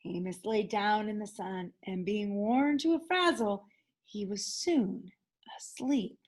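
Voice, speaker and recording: A woman, a teacher, narrating a children's picture book aloud in an expressive storytelling style. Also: medium pitch, takes it slowly.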